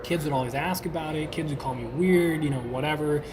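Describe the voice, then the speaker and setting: Man in his twenties from Sweden, casual and enthusiastic guest, slightly high voice, noisy room. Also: fairly quick. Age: 20s. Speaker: podcast guest. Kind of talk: casual interview chat.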